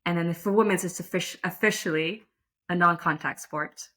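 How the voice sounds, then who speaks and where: Low-voiced woman in an interview. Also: normal speed, sharp tone. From Netherlands. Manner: thoughtful, self-deprecating, frequent restarts and fillers. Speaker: guest, lacrosse umpire. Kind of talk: casual interview conversation.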